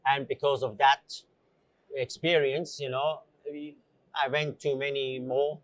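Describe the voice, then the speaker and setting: A middle-aged man from Switzerland chatting as an interview guest, speaking in a halting, conversational way with frequent "you know" asides. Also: medium pitch, little pitch movement, no room sound, rough recording. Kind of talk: casual interview answers.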